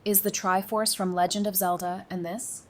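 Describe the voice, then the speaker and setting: An actress playing a warm, teasing, geeky woman in a post-apocalyptic audio drama, speaking casually and playfully. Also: little pitch movement, normal speed, lightly acted. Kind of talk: fan audio drama acting. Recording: noisy background with low rumble.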